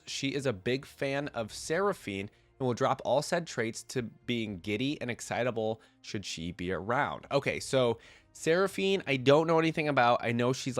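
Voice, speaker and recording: A man's voice: mid-range voice, dry recording. A man with a congested, nasal voice from a cold, reading aloud and adding casual asides as a reaction video host. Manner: informal, thinking aloud with asides. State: has a cold.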